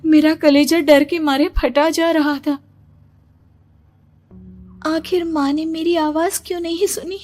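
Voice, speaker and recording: A woman's voice: high-pitched, a little mellow, a little hiss or hum. A woman narrating a scripted horror story in the first person, dramatic, suspenseful and emotive. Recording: music behind the voice. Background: music.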